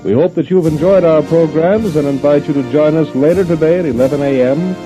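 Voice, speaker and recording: A man's voice: a little high, a little fast. A man reading a formal scripted television sign-off as a station announcer. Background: instrumental music.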